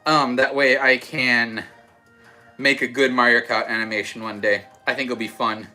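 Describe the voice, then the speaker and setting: A young man with an Australian accent softened by American, chatting casually and rambling a little as he hosts a livestream and answers comments. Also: medium pitch, little pitch movement, normal speed. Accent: Australian with some American. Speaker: livestream host. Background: background music.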